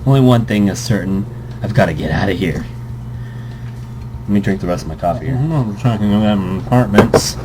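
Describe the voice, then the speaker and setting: Low-voiced man, noisy home recording. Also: expressive, moderate pace. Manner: rambling, self-interrupting asides. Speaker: let's play host. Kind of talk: casual let's play commentary, reading game text aloud.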